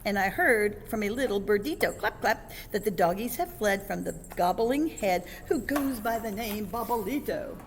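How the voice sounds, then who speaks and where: Woman performs a naughty kitten boy for a storybook: excitable, bragging, raised pitch, reverberant noisy room. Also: normal speed, vocal fry. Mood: playful singsong. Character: naughty, boastful little Siamese kitten boy who believes he's a chihuahua.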